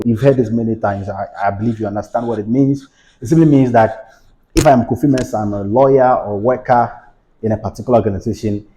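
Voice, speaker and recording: Low, mellow-voiced man explaining law. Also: poor audio. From Ghana. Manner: patient, repeats points with everyday examples. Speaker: legal educator. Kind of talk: explanatory lecture to camera.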